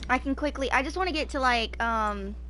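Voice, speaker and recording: A woman's voice: high voice, a little fast, noisy background. A woman in her twenties chatting casually as she live-streams a game, thinking aloud in an informal way. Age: 20s. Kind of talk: casual live gameplay chat.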